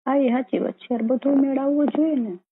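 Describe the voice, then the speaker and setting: A woman's voice: sits high, a little fast, slightly rough, no echo. A woman with a Saurashtra Gujarati accent chatting casually on the phone. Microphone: telephone. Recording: muffled lo-fi phone call recording.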